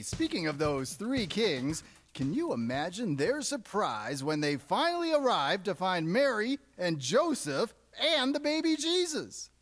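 Man, upbeat radio DJ puppet character, very lively intonation, deliberate pace, preachy script for kids. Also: sits high.

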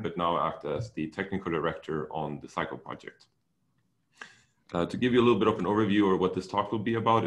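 Very deep-voiced Swedish man presenting. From Sweden. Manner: relaxed, many fillers and restarts. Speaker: technical director giving a talk. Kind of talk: online seminar presentation.

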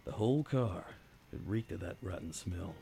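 An actor playing a world-weary, hard-drinking detective in a noir radio play, with wry, understated delivery. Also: male voice, sits low, expressive, normal speed, vocal fry. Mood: wry, brooding. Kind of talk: noir radio drama narration.

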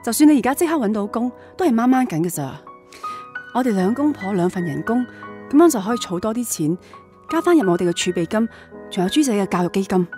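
Young wife and mother played by an actress, practical and emotional, lively intonation, radio drama. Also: medium pitch, fast, faint music behind, lightly acted. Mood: weary, pragmatic. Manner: earnest, reasoning, emotional. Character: practical wife and mother, worried about family finances.

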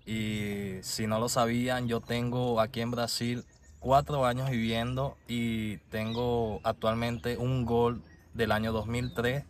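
A young man from Venezuela with a Venezuelan Spanish accent, talking casually to a phone camera from inside his parked car, relaxed and chatty. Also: medium pitch, slow, a little hiss or hum. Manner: chatty, relaxed, repeats "de verdad" often. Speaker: vlogger. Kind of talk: casual vlog talk to camera. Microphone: phone held at arm's length.

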